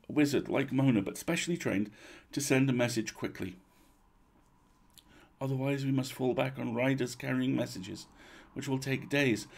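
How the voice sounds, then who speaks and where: A man voicing a weary, regretful old duchess who speaks in formal, measured phrases for a storybook reading. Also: medium pitch, creaky, darkish tone, pitched above the performer's own voice. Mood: worried, grave.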